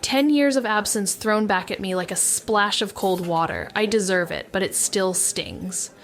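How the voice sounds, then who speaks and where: A woman's voice: medium pitch, a little slow, natural voice. A young woman playing a cautious, anxious former child detective who narrates a noir audio drama. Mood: tense, brooding. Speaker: narrator and lead actor.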